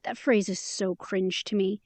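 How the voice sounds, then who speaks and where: An actress playing a caring, candid young woman in an intimate audio drama, speaking in a casual, gently encouraging way. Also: medium pitch, steady tone, unhurried, dry recording, close to an everyday voice. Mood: sincere.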